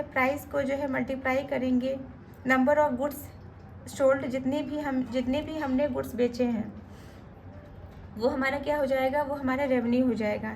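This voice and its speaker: A woman with a high voice, made hoarse and gravelly by a cold, talks through lesson notes as a teacher. She keeps posing a question to herself and then answering it. A faint hiss of noise sits under her voice. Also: poor audio. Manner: asks herself rhetorical questions before each answer. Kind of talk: exam-prep lesson reading from notes.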